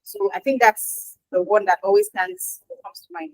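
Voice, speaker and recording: A woman, a Yoruba speaker, answering interview questions in a lively, chatty way with acted-out quotes. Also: medium pitch, moderate pace, no room sound, low-quality recording. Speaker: guest expert in quality assurance.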